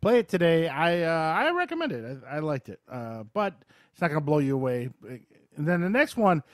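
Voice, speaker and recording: Higher-pitched man chatting on a dry-sounding podcast. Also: very animated, fairly quick, dry recording. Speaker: podcast host reviewing video games. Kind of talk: casual chat, game review.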